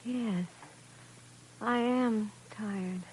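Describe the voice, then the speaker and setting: An actress playing a fragile, exhausted wife under a spell, hesitant and submissive, in 1940s radio drama style. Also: female voice, medium pitch, extremely slow, darkish tone. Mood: dazed, submissive. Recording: noisy old radio recording.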